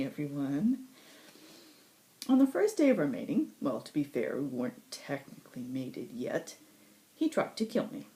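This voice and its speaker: A woman voicing a cynical, sardonic supernatural male assassin in a first-person story, with sarcastic, dramatic asides and emphatic pauses. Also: lowish voice, warm tone, voice pushed up. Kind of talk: first-person audiobook-style story reading.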